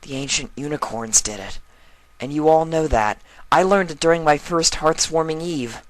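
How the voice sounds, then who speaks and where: A man voicing an eager, high-pitched little pony filly. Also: normal speed, dry recording, lightly acted, rough recording. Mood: insistent. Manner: earnest, excitable. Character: eager, curious young female pony foal.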